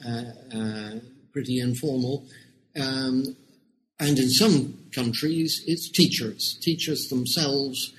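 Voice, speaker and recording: Measured British man explaining slowly. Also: mid-range voice, a bit bright, a little hiss or hum, low-quality recording. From United Kingdom. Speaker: education researcher guest. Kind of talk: measured academic interview answers.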